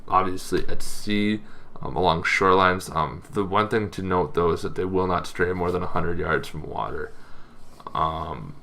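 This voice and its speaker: A man with a deep voice gives an informal explainer as the host of a gaming show. His tone is creaky and gravelly. He phrases things loosely and casually, pausing often as he goes. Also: pushed and bright. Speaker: host of a tabletop roleplaying lore show. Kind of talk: informal explainer on game monsters.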